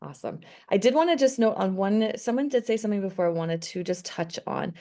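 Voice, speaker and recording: A woman's voice: medium pitch, fairly quick, warm tone. A woman co-hosting a live webinar, chatty and upbeat, reading audience comments aloud. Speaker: webinar co-presenter.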